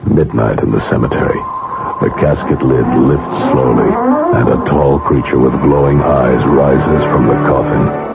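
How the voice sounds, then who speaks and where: A man's voice: a bass voice, steady tone, measured pace, gravelly, narrow, tinny audio. A man reading a dramatic book commercial in an ominous, theatrical manner. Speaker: ad announcer. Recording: thin vintage radio with loud music.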